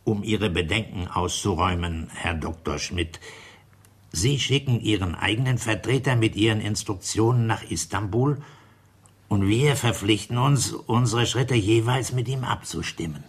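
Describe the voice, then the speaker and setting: An actor in a German radio play, playing a grave, formal negotiator with a husky voice and measured delivery. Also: male voice, lowish voice. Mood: formal, insistent.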